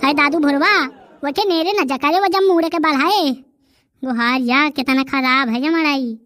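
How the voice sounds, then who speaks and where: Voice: high voice, normal speed, sharp tone. A performer voicing a fretful, nosy old village granny in a cartoon comedy, exclaiming in rustic Bundelkhandi speech. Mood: alarmed, curious.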